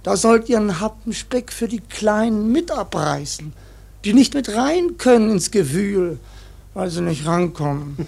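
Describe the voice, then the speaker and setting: An actor in a radio play voicing an ancient, stubborn ship's cook who preaches piously and grumbles with curses in a husky voice. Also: male voice, high-pitched, lively intonation, a little slow, a little mellow, full character voice. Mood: preachy, exasperated. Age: older. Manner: grumbling mock-preacher, swears constantly. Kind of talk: German radio play acting.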